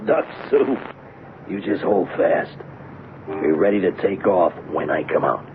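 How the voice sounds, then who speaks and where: A swaggering young bank robber from a radio drama, low and gravelly. His talk is slangy, slick and cocky. It comes through a thin, noisy old broadcast recording. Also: sing-song, takes it slowly, phone-quality sound, full character voice. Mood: confident.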